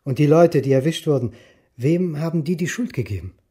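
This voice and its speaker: An actor playing a brooding, dogged history lecturer turned amateur sleuth in a radio drama, terse, pensive and probing. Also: male voice, a little high, lively intonation, fairly quick, warm tone, breathy edge, no echo, clean studio sound. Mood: probing, insistent.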